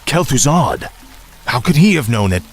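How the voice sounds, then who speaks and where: An actor playing a fallen young prince turned death knight, grim, cold and commanding, in a video game cutscene. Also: male voice, mid-range voice, sing-song, normal speed, lightly acted. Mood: impatient. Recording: loud music behind the voice.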